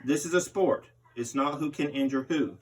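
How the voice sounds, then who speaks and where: A man's voice: fairly high pitch, moderate pace, husky. A man reading a magazine interview aloud as a host, voicing the interviewee's part. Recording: distant microphone.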